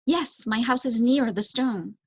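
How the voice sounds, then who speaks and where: A woman's voice: fairly high pitch, unhurried, slightly rough, pitched above the performer's own voice. A woman voicing a nervous, polite mother mouse in a storybook. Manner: polite, anxious. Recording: dull, lo-fi close recording.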